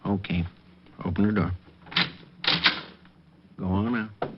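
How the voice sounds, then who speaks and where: An actor playing a menacing old counterfeiter, gruff and folksy as he threatens, in 1940s radio crime style. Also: lowish voice, steady tone, takes it slowly. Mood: smug menace. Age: older. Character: menacing old male counterfeiter running a bar. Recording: noisy, muffled 1940s radio recording.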